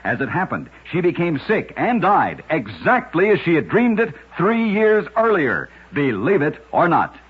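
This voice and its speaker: A man hosting short radio tales of oddities, reading scripted narration in a measured old-time style with emphatic sign-offs. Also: on the high side, big pitch swings. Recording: dull, lo-fi old radio broadcast.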